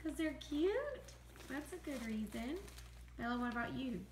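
Chatty Southern mom filming on a phone. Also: female voice, high-pitched, normal speed. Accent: Southern American. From Southern United States. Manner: chatty, chiming in to add details. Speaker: mother filming her daughters. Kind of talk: casual family chat. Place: living room indoors. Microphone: handheld phone camera, speaker off-screen. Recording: echoey room with faint noise.

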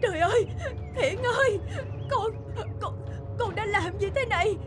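A woman's voice: extremely high pitch, unhurried. An actress playing an anguished, pleading mother in a cartoon, speaking emotionally. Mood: alarmed. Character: anguished, pleading mother of a hot-tempered son. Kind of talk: cartoon dubbing. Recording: clear music under the voice.

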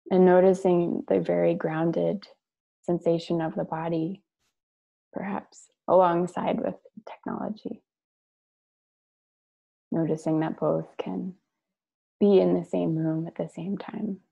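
A woman leading a guided meditation, speaking and reading aloud in a gentle, measured way with long pauses between short phrases. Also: lowish voice, little pitch movement, creaky, breathy edge. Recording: dull lo-fi online call audio.

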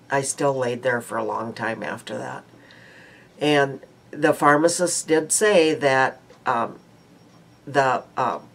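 A woman in her sixties with a stuffy nose, chatting casually to a webcam in a small room at home about her makeup and her day, rambling with many ums and uhs. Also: a bass voice. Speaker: beauty vlogger. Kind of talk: casual daily makeup chat. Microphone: webcam at close range.

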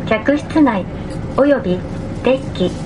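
A woman's prerecorded voice giving an automated onboard train announcement in a crisp, measured way. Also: on the high side, animated. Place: inside a train. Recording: train noise behind a PA.

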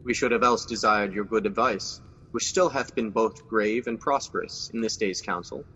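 A man acting as a newly crowned, ambitious king in an amateur radio drama, speaking verse in a measured, regal way. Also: lowish voice, pushed and bright, big-room sound, dull audio, slight background noise.

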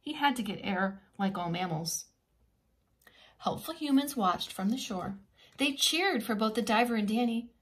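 Lady preschool teacher doing an expressive storytime read-aloud, explains stuff simply as she goes. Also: a little high, moderate pace. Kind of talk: read-aloud of a children's picture book.

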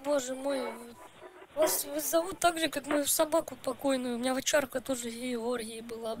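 A man's voice: very high-pitched, level tone, moderate pace, a crowd in the background. A man voicing a whiny, stammering boy who makes a prank call and thinks he is special. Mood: sulky. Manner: stammering, sulky, petulant. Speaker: prank caller. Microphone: handheld mic.

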